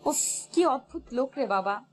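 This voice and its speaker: A woman's voice: high-pitched, animated, normal speed. A woman playing a playful, impatient newlywed bride in a romantic audio story, teasing and exasperated. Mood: teasing exasperation. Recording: music bed under the voice. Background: music.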